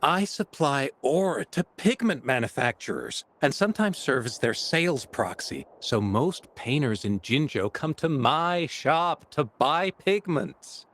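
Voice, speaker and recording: A man's voice: mid-range voice, big pitch swings, unhurried, hardly any pauses, a bit bright, slight background noise. An actor voicing a teasing, talkative male pigment merchant in an anime-style game, with a chatty, playful and familiar manner. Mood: breezy, musing.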